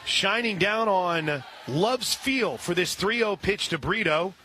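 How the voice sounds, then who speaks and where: A man calling live softball play-by-play as a radio announcer. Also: high voice, lively intonation, slow. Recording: live outdoor ballpark broadcast, clear ambient noise.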